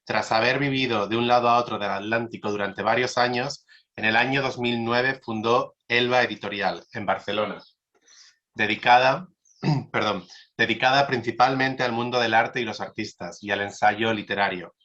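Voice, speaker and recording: A man's voice: mid-range voice, moderate pace, pushed and bright. A man hosting an interview, reading a formal introduction with stumbles and corrections. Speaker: interviewer and host. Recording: dull lo-fi sound.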